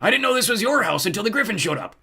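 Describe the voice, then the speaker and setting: A man's voice: high voice, big pitch swings, rapid-fire, vocal fry, sharp tone, husky, pitched above the performer's own voice, full character voice. A man voicing a cheeky little hobgoblin who yelps and blusters through a storybook tale. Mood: indignant. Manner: yelping, blustering. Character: cheeky, panicky hobgoblin, small male creature.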